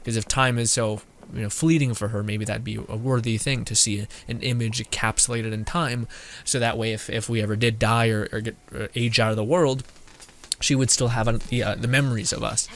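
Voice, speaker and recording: A young man giving casual live gameplay commentary as a streamer, rambling and halting as he reacts. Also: mid-range voice, little pitch movement, a bit bright, slight background noise. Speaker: game streamer.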